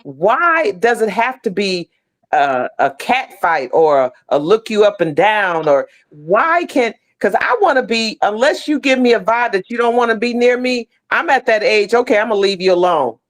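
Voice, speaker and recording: A woman's voice: mid-range voice, animated, moderate pace. A middle-aged American woman speaking as an interview guest on a video call, heartfelt and emphatic, often repeating phrases. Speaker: podcast guest, stage play cast member. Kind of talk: casual, heartfelt conversation.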